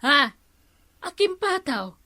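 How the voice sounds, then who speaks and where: An actress playing a strict, gossipy village councillor in a Filipino radio comedy drama, scolding, with an Ilocano accent. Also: female voice, high voice, very animated, takes it slowly, sharp tone, dry recording, exaggerated voice. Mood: strict, suspicious.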